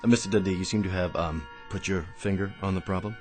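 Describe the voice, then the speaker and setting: Actor as deep-voiced, wry department-store Santa. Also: male voice, steady tone, darkish tone, natural voice. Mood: wry, amused. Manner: halting, wry, politely needling. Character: down-on-his-luck department-store Santa, wistful, wry, gentle old rascal. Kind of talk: radio drama acting. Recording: music bed behind dialogue.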